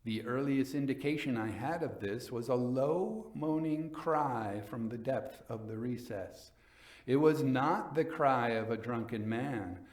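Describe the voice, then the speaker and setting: A man giving a dramatic reading as a coldly vengeful nobleman recounting his murder in a gothic tale, theatrical, deliberate and emphatic. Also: mid-range voice, a little mellow, roomy sound, natural voice. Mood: cold, measured.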